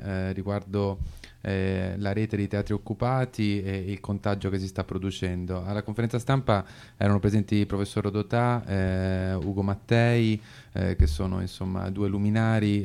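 A man's voice: on the low side, level tone, moderate pace, creaky, darkish tone, clean tone. A man hosting an unscripted radio programme, explaining in long, hesitant sentences. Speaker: radio host. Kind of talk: unscripted radio talk on activism.

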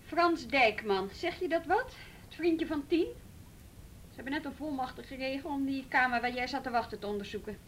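A woman's voice: sits high, normal speed, a bit bright, a little hiss or hum. A woman acting a firm, patient, businesslike juvenile police detective in a naturalistic radio drama.

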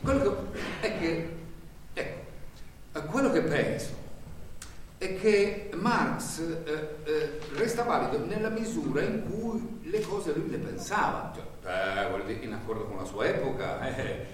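A man's voice: fairly high pitch, lively intonation, slow pace. An actor playing a rambling, earnest working-class man in a stage monologue, stammering, repeating himself and searching for words. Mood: earnest, groping. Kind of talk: theatrical stage monologue in a radio broadcast. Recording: very echoey stage, distant mic, faint noise.

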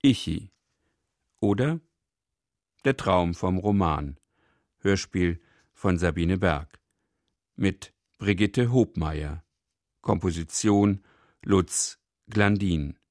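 A man gives a formal scripted radio announcement. His voice is very low and he takes his time between items. The sound is dry and studio-close. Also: very slow, long pauses, darkish tone, no room sound. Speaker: radio announcer reading credits.

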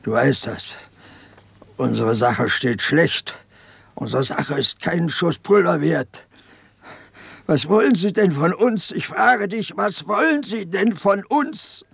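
An actor in a 1950s German radio drama playing a guilt-ridden peasant partisan, brooding and insistent. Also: male voice, on the high side, expressive, slow, vocal fry, fairly bright tone, slightly rough, no room sound, exaggerated voice. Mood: agitated, anguished. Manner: brooding, insistent confession, swinging from muttering to agitated outbursts. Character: haunted, guilt-ridden peasant partisan soldier, family man.

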